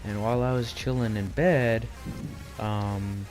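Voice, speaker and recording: A man's voice: fairly low pitch, steady tone, measured pace, darkish tone, soft background music. Brash young man swearing and joking. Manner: brash, profane, teasing. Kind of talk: casual storytelling chat.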